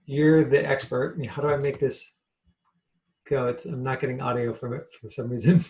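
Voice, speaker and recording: A man hosting an online class, talking casually through a live demonstration in a hesitant, halting way, repeating himself. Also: medium pitch, fairly even intonation, darkish tone. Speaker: class host and acting coach.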